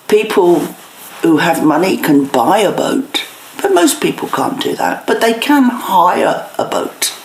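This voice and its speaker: A woman giving plain-spoken explanatory answers in an interview, in short, clear statements. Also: lowish voice. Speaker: canal historian interviewee. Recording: echoey room with background noise.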